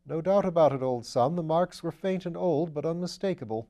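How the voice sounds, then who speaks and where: Brief: man voicing a raised, warm-toned posh amateur sleuth, brisk and chatty, storybook reading. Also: a little high, voice pushed up, lightly acted. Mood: breezy. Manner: chatty, upper-class slang, brisk and eager. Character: breezy aristocratic English gentleman sleuth, man. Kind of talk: audiobook character voice.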